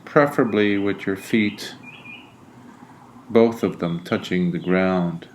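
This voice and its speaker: Very slow-paced man guiding a meditation. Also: deep voice, a little hiss or hum, low-quality recording. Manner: deliberate, soothing, frequent pauses between phrases. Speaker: meditation guide, Buddhist monk. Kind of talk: guided meditation.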